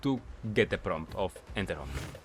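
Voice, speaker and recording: Low-voiced man giving gameplay commentary. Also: big pitch swings, normal speed, soft background music. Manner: asks himself questions and answers them. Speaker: gaming video creator.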